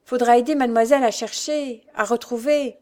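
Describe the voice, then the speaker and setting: A woman's voice: on the high side, steady tone, fairly quick, dark tone, pitched above the performer's own voice, close to an everyday voice. A woman voicing an earnest, humble young workman. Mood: meek, hopeful. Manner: earnest, eager, rustic colloquial speech. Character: kind-hearted, humble young male lame knife-grinder.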